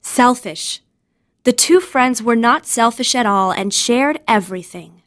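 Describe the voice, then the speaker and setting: Woman, slightly high pitch, scripted educational narration, deliberate instructional delivery. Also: animated, a bit bright. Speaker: vocabulary lesson narrator. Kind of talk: scripted educational narration, word then definition.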